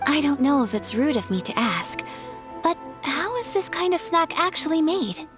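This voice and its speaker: A woman voicing a polite, graceful young noblewoman in a video game, speaking formally and softly. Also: high voice, animated, normal speed, music in the background. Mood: curious. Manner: formal, soft-spoken, courteous.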